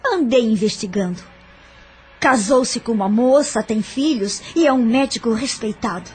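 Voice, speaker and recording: A woman's voice: on the high side, lively intonation, normal speed, soft background music, close to an everyday voice. An actress playing a bitter, vengeful woman who threatens and taunts a former lover, in an audio drama. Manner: threatening, spiteful, scornful.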